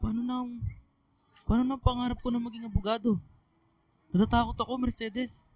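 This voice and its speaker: A man's voice: extremely high pitch, little pitch movement, brisk, a little hiss or hum. A young man acting a scared, evasive student boyfriend in a radio drama, hesitant and defensive. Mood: afraid, defensive.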